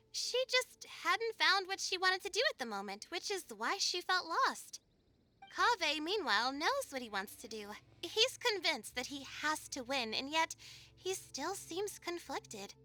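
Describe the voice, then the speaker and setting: A woman's voice: high voice, exaggerated voice. A woman voicing a thoughtful, composed young woman scholar in an anime-style video game. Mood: pensive. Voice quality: bright, youthful.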